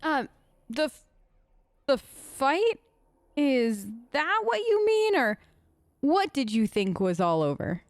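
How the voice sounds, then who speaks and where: A woman's voice: high voice, big pitch swings, takes it slowly, long pauses, no echo. A woman hosting a role-play let's play, chatting casually and a little hesitantly to game companions in character.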